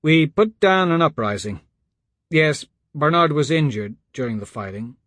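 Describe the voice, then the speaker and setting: A man voicing an anxious young man in charge, pleading and pressing out hurried instructions as in an audiobook. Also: on the high side, animated, a bit bright, no room sound, clean studio sound, voice pushed up. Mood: nervous, defensive. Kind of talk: audiobook character dialogue.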